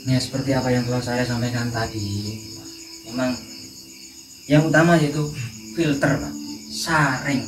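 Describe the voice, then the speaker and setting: Man, thirties, Javanese accent, halting phrasing, informal discussion, echoey room sound, insects behind. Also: mid-range voice, over music. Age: 30s. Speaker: discussion guest. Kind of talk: informal panel talk. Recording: distant, echoey, noisy recording with insects.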